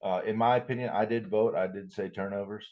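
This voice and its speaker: A man's voice: on the low side, steady tone, brisk. A man co-hosting a podcast, chatting casually about sports, on a dull, lo-fi recording. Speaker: podcast co-host.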